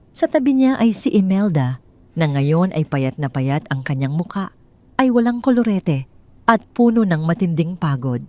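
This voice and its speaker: A woman with a Filipino accent narrating a scripted dramatic story in measured, sentimental phrasing. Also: lowish voice, a little mellow.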